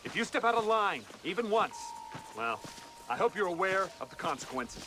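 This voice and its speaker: An actor playing a stern, officious government agent in a video game, curt and threatening. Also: male voice, high-pitched, sing-song, moderate pace, background noise. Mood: tense, wary. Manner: curt, superior, deferential to his boss. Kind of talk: video game cutscene acting.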